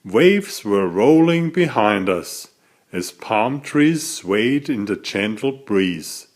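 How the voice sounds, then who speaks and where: A man reading a children's story aloud as a narrator, in a deliberate, dramatic storytelling manner. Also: on the low side, expressive. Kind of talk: expressive scripted storybook narration.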